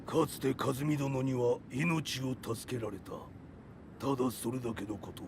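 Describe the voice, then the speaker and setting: An actor voicing a stern, demonic male warrior in a game, with a gravelly voice and solemn archaic speech. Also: medium pitch, a little fast, background noise, close to an everyday voice. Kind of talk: game cutscene voice acting.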